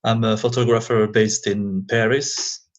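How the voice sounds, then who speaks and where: A man from Algeria, a guest in a casual interview over a remote call, speaking English haltingly and searching for words. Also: on the low side, flat delivery, dull audio.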